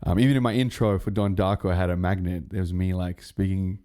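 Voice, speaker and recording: A man's voice: low voice, fairly even intonation, fast talker, warm tone, clear, resonant tone. A young man, a guest on a casual podcast chat, talking in a rambling, laid-back way. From Australia. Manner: rambling, laid-back, full of fillers and restarts. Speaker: guest, music producer.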